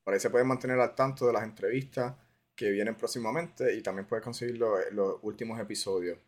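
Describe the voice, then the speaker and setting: A man's voice: lowish voice, steady tone, fast. A man with a Puerto Rican accent hosting a casual podcast interview, informal and dropping English words into his Spanish. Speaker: podcast host.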